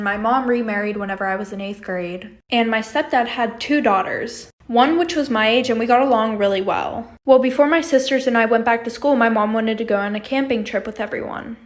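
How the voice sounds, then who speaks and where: A young woman telling a casual personal story for social media in a blunt, colloquial way. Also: a little high.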